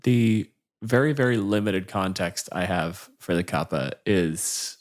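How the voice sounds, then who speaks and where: Man, deep voice, podcast co-host, casual banter, wry, blunt, salty delivery. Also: measured pace.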